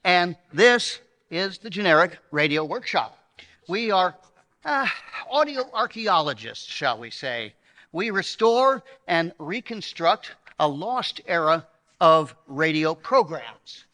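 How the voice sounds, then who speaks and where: Bright, forceful man presenting in a hall. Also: high-pitched, sing-song, slow. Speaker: presenter and member of a radio re-creation troupe. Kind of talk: introducing a live show to an audience. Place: live stage at a banquet hall. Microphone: stage microphone. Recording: reverberant hall sound.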